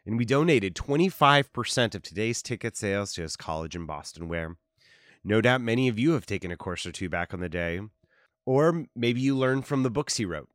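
A man's voice: fairly low pitch, fairly bright tone, no room sound. Man playing a young conference host, dry close recording. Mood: solemn, grateful. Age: young adult. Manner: polite, formal public announcing. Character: grinning young male conference host in his thirties. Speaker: conference presenter. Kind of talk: audio drama stage speech.

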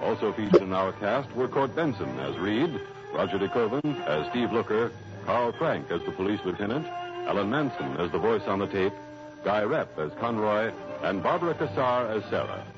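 An American male announcer reads scripted credits in 1960s radio style. His mid-pitched delivery is lively and varied, over music on a dull vintage recording. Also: normal speed.

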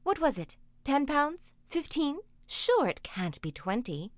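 A woman voicing Jack's anxious, quick-tempered mother in a storybook reading. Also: high-pitched, big pitch swings, no room sound, studio quality, voice pushed up, heavily acted. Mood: fretful. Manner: fretful, exclaiming.

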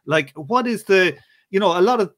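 Chatty Irish interviewer. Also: male voice, sits high, lively intonation, moderate pace, fairly bright tone. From Ireland. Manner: chatty, rambling questions. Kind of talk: casual interview.

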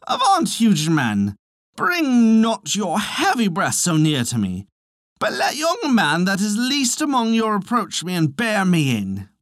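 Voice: medium pitch, wildly swooping pitch, takes it slowly, a bit bright, no echo, clean studio sound, pitched above the performer's own voice, heavily acted. A storyteller voicing a tiny, proud male fairy poet with a declamatory, archaic and haughty delivery. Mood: alarmed.

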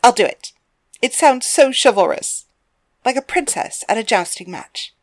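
Woman voicing a playful young girlfriend, lightly lifted pitch. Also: expressive, normal speed, no room sound. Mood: coy. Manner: playful, coy. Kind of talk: audiobook character dialogue.

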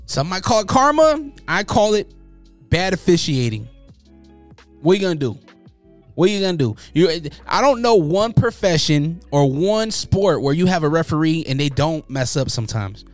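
Animated slangy man ranting. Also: a little high, normal speed, soft background music. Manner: animated, joking, slangy, mocking voices in quotes. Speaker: commentary host. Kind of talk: casual news commentary.

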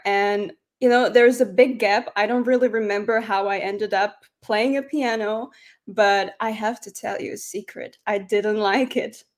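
A young woman from Slovakia with Slovak as her first language, speaking as a guest in an interview and giving soft, thoughtful answers with some hesitations. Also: fairly high pitch. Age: young adult. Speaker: guest musician. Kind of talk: casual interview.

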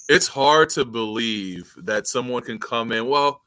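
A man co-hosting a casual sports podcast, laid-back and slangy, quick to agree. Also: mid-range voice, fairly bright tone, no room sound. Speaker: podcast co-host.

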